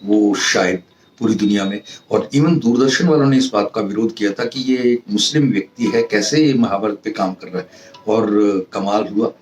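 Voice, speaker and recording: A man gives an earnest, didactic motivational lecture, pausing often and repeating key phrases, mixing in English terms. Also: fairly low pitch, slight background noise. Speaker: professor giving a motivational talk. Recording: roomy, distant mic, faint music behind.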